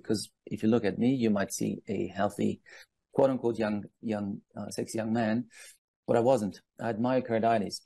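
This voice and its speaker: A man in his fifties from Iran speaking as a guest, telling personal stories and explaining in a deliberate, emphatic manner with rhetorical asides. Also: fairly low pitch, vocal fry, dark tone, husky, no room sound. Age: 50s. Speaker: guest speaker on palm leaf reading.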